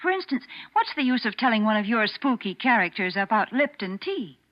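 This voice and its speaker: A woman's voice: on the high side, big pitch swings, brisk. A woman playing a chatty, homey spokeswoman in 1940s radio advertising, folksy and emphatic. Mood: cheerful chiding. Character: chatty, prim, homey woman pitching products. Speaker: commercial spokeswoman. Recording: muffled 1940s radio recording.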